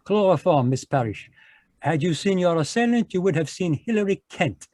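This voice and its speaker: A man's voice: high voice, lively intonation, normal speed, darkish tone, dry recording, studio quality. A man playing a fussy Belgian detective with a French-flavored accent and formal phrasing, in a live radio play over video call. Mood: knowing. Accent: French-flavored English, put on. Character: fussy Belgian male detective, precise, commanding.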